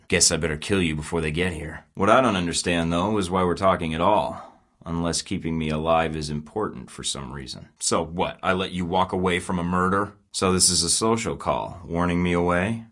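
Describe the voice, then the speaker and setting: A cocky, low-voiced rogue spy. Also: male voice, fairly bright tone. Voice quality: sarcastic, taunting. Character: wisecracking male rogue spy, cocky and sarcastic. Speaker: video game protagonist. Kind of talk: game voice lines.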